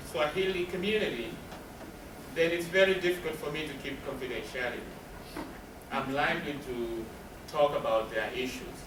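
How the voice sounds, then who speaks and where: Guy giving a talk, kinda high voice, explains stuff emphatically, far from the mic w/ noise behind. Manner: explanatory, asks and answers own questions. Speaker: counselor giving a presentation. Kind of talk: spoken presentation to a live audience. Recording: roomy, distant mic with noticeable background noise.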